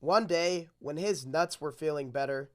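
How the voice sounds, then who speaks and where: A storytime host reading a picture book aloud in an exaggerated storybook manner with deadpan asides. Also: medium pitch, unhurried.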